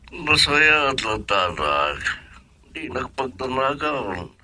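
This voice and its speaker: A man's voice: mid-range voice, very animated, slow, bright, forceful tone, heavily acted. A man with an Ilocano accent playing a fond, teasing older father in a radio drama. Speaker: father character.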